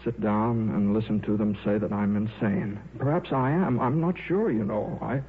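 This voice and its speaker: An actor playing a shy, absent-minded middle-aged scientist in a 1950s radio drama, with a hesitant, stammering delivery. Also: male voice, lowish voice, normal speed, natural voice. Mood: weary, resigned. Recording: muffled, noisy old radio broadcast.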